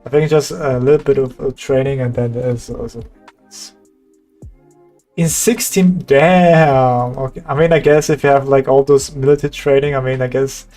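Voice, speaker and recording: A man's voice: medium pitch, fairly even intonation, moderate pace, dark tone, faint music behind. A young man chatting casually with viewers on a stream, laid-back and laughing often. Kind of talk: casual livestream chat.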